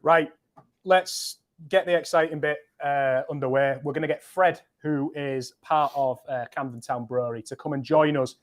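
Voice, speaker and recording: A man's voice: a little high, steady tone, normal speed. A man with a Yorkshire accent hosting a live online stream, chatty and upbeat as he reads out viewer comments. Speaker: livestream host. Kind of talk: casual live stream chat.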